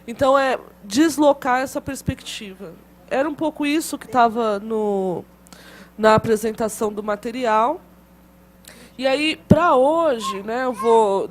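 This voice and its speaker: A woman with a fairly high voice lectures a class in a loose, conversational way, often checking in with tag questions and restarting her phrases. A faint background hum runs under the recording.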